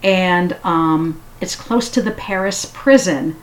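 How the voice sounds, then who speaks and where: A woman giving a casual spoken history talk, unhurried and halting with frequent fillers. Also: lowish voice, rough recording. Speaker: history storyteller.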